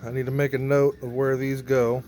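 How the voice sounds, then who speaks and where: A man with clear ambient noise behind him talks through a hands-on gear job. His pitch is mid-range and his delivery is informal, as if thinking aloud while he works. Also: little pitch movement, brisk, mellow. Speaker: band member showing a PA rack rebuild. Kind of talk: casual hands-on vlog narration.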